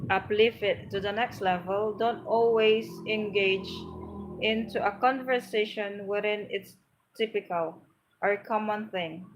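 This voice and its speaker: A woman's voice: medium pitch, a little hiss or hum, low-quality recording. Encouraging Filipino-accented woman. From Philippines. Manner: earnest, encouraging, repeats herself while searching for words. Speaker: livestream host, English tips. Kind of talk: casual live stream chat, reading comments aloud.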